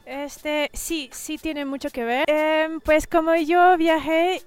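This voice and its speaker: A woman's voice: high voice, flat delivery, slow pace. A young woman, an illustrator answering interview questions in a rambling way with many restarts. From Mexico and the UK. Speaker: illustrator guest.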